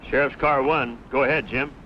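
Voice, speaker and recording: A man's voice: medium pitch, fairly even intonation, moderate pace, slight background noise, natural voice. Plain-spoken country sheriff, played by a man, muffled recording. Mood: businesslike. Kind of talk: old-time western radio drama acting. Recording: muffled vintage radio recording, loud music.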